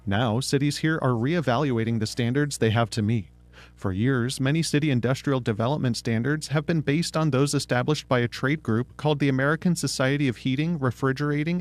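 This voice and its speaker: A man reading a scripted radio news report as a reporter. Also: medium pitch.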